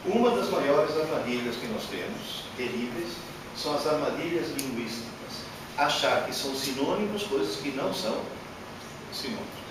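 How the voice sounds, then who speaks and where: A man giving a workshop lecture to an audience, animated and emphatic, with many rhetorical questions, speaking Portuguese as a learned language. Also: on the high side. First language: not Portuguese. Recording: echoey hall from far off, noisy.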